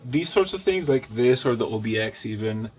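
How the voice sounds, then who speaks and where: A man's voice: medium pitch, animated, moderate pace, a little mellow. A man in his thirties giving a casual technical walkthrough of synthesizers while playing them in a recording studio. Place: wood-paneled recording studio, indoors.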